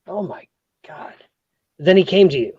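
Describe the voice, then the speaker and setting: A woman acting a nosy, motherly confidante hungry for romance, husky and theatrical in a comic play read. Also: lowish voice, animated, a little slow, mellow, no echo, heavily acted. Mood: thrilled, breathless. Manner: theatrical, breathlessly prying. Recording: dull lo-fi, close dry sound.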